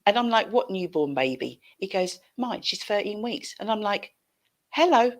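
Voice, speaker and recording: A woman's voice: mid-range voice, very animated, normal speed. A middle-aged British woman hosting a casual online chat, effusive and familiar with her guest. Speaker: show host. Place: room at home, indoors. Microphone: headset on a video call.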